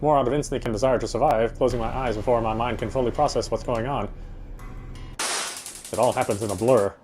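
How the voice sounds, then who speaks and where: Man, middle-pitched voice, dramatic visual novel reading, slight word stumbles, prominent background music. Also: fairly even intonation, a little fast. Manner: stumbles over words while reading. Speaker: let's player reading a game aloud. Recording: music bed behind the voice. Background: loud game music.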